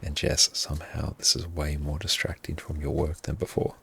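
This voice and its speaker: A man livestreaming his gameplay in a hushed, half-whispered voice, often thanking his viewers. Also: a bass voice, level tone, normal speed, gravelly, slightly rough.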